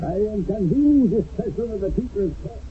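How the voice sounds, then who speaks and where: A man's voice: high voice, animated, a little fast. A man playing a solemn French village mayor in a radio drama, formal and declamatory as he presides. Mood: stern. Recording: tinny, noisy old radio broadcast.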